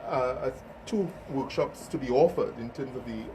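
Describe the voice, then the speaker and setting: A man's voice: mid-range voice, normal speed. A man, hosting an informal interview and speaking as an organiser. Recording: distant mic, faint background noise.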